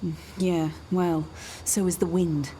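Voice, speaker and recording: A woman's voice: on the low side, little pitch movement, measured pace, dark tone, natural voice. Woman acting an earnest, emotional daughter, some noise. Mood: troubled, confiding. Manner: confiding, emotional. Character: earnest, anxious daughter breaking free of her mother. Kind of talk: radio soap acting. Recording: noticeable background noise.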